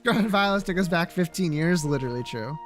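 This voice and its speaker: High-pitched, animated man chatting on stream. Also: a little fast, faint music behind. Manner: slangy, swearing, playfully opinionated. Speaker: livestreamer playing a video game. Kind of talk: casual gaming chat, reacting to viewers.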